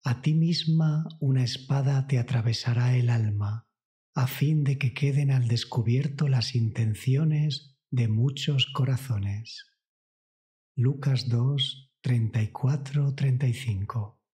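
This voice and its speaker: A man reading a scripted devotional meditation in a solemn, measured, reverent way. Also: mid-range voice, dark tone. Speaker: narrator of a guided prayer meditation. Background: music.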